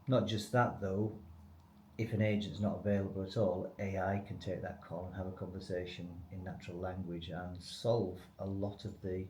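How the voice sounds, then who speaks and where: A man with a fairly low, slightly soft-toned voice answers as the expert in a business interview. He explains things conversationally, in a clearly echoey room. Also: little pitch movement, moderate pace. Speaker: company expert being interviewed. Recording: echoey room, faint background noise.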